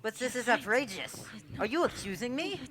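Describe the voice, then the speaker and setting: A woman's voice: on the high side, sing-song, moderate pace, very noisy. A woman acting an indignant, haughty male police inspector in a radio play. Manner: indignant, dismissive.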